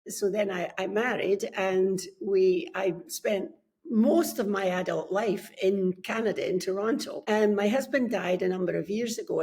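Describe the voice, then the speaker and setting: Older scottish lady on a podcast call, measured and friendly, mid-pitched voice. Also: gravelly, a little mellow. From Scotland. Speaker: podcast guest, book coach. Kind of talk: casual interview chat. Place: home, on a remote call from abroad.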